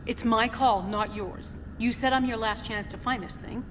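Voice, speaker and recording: A woman plays a determined, grieving scientist in a sci-fi game. She speaks insistently and firmly, with a long reverb and steady noise around her. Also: a little high, moderate pace, natural voice. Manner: resolute, insistent.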